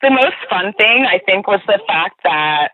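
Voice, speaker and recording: A woman's voice: medium pitch, expressive, unhurried. A woman with a New Jersey accent, chatting casually as a podcast guest, restarting her sentences and saying "like" a lot. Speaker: guest, tour guide. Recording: tinny phone line, dry.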